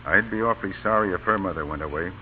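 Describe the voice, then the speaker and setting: An actor playing a measured, authoritative Union colonel, stern yet kindly, in old radio drama style. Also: male voice, deep voice, natural voice. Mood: grave sympathy. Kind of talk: 1930s-1940s radio acting. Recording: tinny, hissy old radio broadcast.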